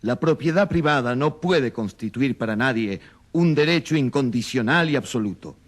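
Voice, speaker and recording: An actor playing a solemn witness who formally recites papal teaching in a radio drama. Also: male voice, medium pitch, expressive, normal speed, natural voice.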